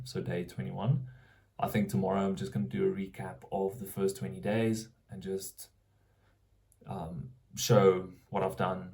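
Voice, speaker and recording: A man's voice: deep voice, creaky, darkish tone. A young South African man vlogging casually, thinking aloud and often restarting his sentences as he searches for words.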